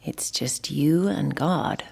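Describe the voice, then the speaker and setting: Low-voiced earnest church singer. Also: female voice, takes it slowly, vocal fry, a little mellow, husky, full character voice. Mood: earnest, reverent. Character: gentle, devout professional wedding singer, sincere and curious. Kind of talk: naturalistic audio drama acting. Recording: reverberant hall-like church acoustic.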